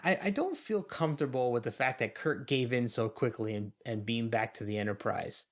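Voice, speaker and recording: A man's voice: medium pitch, normal speed. A man co-hosting a podcast, giving casual, wryly amused commentary on an episode as he watches. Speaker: podcast co-host.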